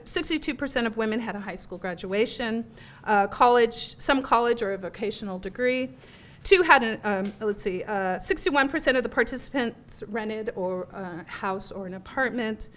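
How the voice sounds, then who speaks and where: Higher-pitched woman presenting research. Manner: fillers and self-corrections, reading figures off slides; says she has a loud voice. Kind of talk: academic conference talk. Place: lecture room.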